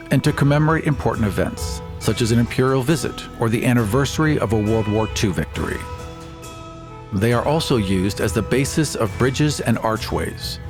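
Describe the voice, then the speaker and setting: Man, average pitch, podcast host, music in the background. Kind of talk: podcast discussion with some read-out passages.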